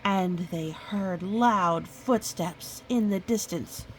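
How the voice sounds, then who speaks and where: A woman reading a children's picture book aloud, expressive and exclaiming, with chatty asides to young listeners. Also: mid-range voice, slow, slight background noise, rough recording.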